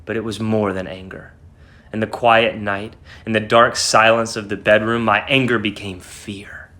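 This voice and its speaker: A man playing a mad, guilt-ridden murderer narrating a horror story, dramatic, shifting between hushed and frantic. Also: on the low side, flat delivery, normal speed, voice pushed down. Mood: tense, chilled. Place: small home room.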